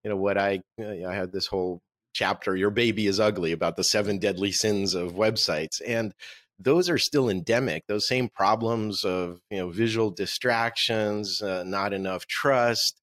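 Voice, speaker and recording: A man in his forties, born in Russia with Russian as his first language, answering interview questions as an author in a relaxed, joking way. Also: on the low side, normal speed, no echo. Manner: relaxed, joking, laughs at own quips. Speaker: author and marketing agency owner.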